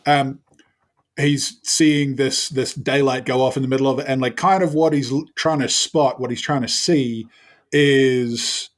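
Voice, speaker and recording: A man's voice: mid-range voice, fairly even intonation, unhurried. A man chatting casually and jokingly as a player in a tabletop game, repeating himself for emphasis.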